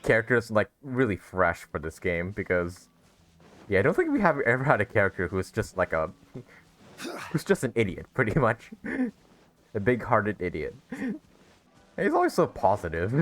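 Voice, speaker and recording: Very low-voiced woman, amused gaming commentary. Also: wildly swooping pitch, moderate pace. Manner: giggly, amused, teasing. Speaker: game streamer. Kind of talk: casual let's play commentary. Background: faint game music.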